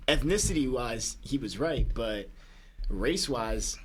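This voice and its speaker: A man hosting a casual podcast, asking questions and reflecting in a measured way. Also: medium pitch, lively intonation, crowd noise. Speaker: podcast host.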